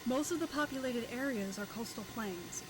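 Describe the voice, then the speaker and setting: A woman voicing a composed military operator who briefs a mech pilot in a video game, measured and informative. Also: fairly high pitch, animated, background noise, close to an everyday voice. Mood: explanatory, wry. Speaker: mission operator in a mecha game. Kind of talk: scripted game briefing voice-over. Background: game music and engine sounds.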